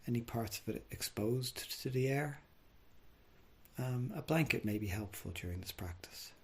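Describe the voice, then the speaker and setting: A man leading a guided body scan meditation, speaking gently and without hurry, leaving long pauses. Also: mid-range voice, animated, gravelly, breathy edge. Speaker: mindfulness meditation teacher.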